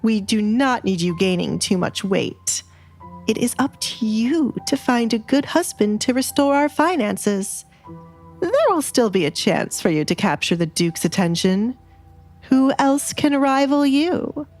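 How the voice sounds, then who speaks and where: A woman's voice: a little high, lively intonation, measured pace, darkish tone, faint music behind. A woman voicing a haughty, controlling noble mother, strict and scheming, in an audiobook drama. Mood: scolding.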